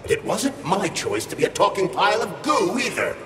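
An indignant artificial companion speaks high and with animated swings, biting and emphatic. It is game cutscene acting in an echoey hall, with music prominent behind it. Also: male voice, fairly quick. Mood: indignant, accusing. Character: indignant, sardonic male talking artificial companion.

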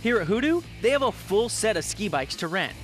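Young male TV reporter, high voice, chatty and enthusiastic. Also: big pitch swings, a little fast, fairly bright tone, faint music behind. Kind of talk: upbeat travel report narration.

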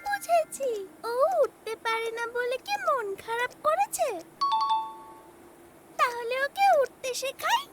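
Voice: very high voice, lively intonation, slow, full character voice. An actor voicing a cheerful, caring little girl in a children's cartoon, speaking in simple, eager, childlike phrases. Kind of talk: animated children's cartoon dialogue. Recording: music behind the voice. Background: prominent music.

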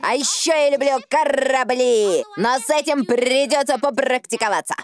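A woman's voice: high voice, normal speed, close to an everyday voice. A woman voicing a bold, swaggering tomboy girl obsessed with pirates in a cartoon dub. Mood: bold, defiant. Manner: swaggering, emphatic.

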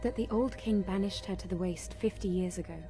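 Actress as a lonely young milliner in a fantasy radio play, courteous, medium pitch, music behind. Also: female voice, a little fast, lightly acted. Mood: guarded. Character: polite, lonely young hat-shop girl. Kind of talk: radio drama acting. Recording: music under the voice.

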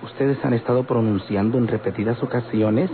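A man's voice: medium pitch, fairly even intonation, brisk, a crowd in the background, close to an everyday voice. A man playing an affected, coquettish, touchy Mexican man with mincing politeness in an old radio drama. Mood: overly polite. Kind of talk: old-time radionovela acting. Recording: tinny old radio recording with babble.

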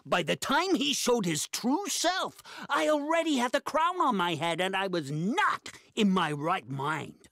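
A woman voicing a big, wistful, theatrical monster sorceress with grand melodrama in an animated game. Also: medium pitch, unhurried, few pauses, dry recording, studio quality. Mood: puzzled, earnest. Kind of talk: animated game cutscene acting.

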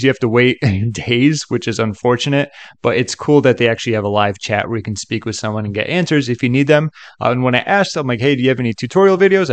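A man giving a chatty, upbeat, casual software walkthrough as a tutorial presenter. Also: medium pitch, no room sound. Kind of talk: casual screen-recording walkthrough.

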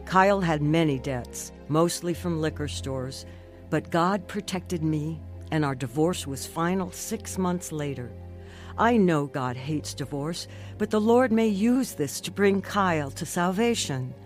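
Unhurried, earnest woman narrator. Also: sits low, faint music behind. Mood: earnest, reflective. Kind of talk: first-person radio drama narration.

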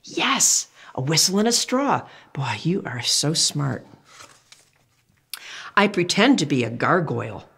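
Older female storyteller, lowish voice, very animated delivery, reads to kids and quizzes them. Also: takes it slowly, husky. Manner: animated, exclamatory storyteller who asks the child questions. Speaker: children's book reader. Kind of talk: reading a picture book aloud to children.

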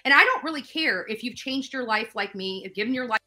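A woman's voice: on the high side, animated, fast talker, pushed and bright, faint music behind. A middle-aged woman delivering a confrontational, indignant rant at home, repeating words for emphasis. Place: living room, on a couch.

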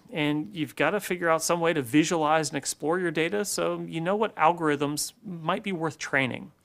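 A man in his forties giving a scripted keynote presentation in a measured, deliberate way, recorded in a studio on a lapel mic. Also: fairly high pitch, gravelly, fairly bright tone.

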